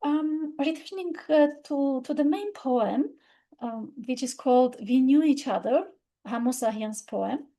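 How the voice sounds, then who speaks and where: A thoughtful woman whose first language is Armenian talks about poetry in English. Her voice sits a little high but sounds mellow and warm, and she moves slowly through her ideas with frequent ums, pauses and self-corrections. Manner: halting, many fillers and restarts; reflective, thoughtful. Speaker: literature video presenter. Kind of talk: unscripted literary talk.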